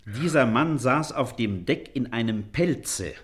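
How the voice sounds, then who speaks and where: An actor in a German radio play playing an earnest, educated gentleman, stiffly polite, precise and easily offended. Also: medium pitch, animated, slow, a little hiss or hum.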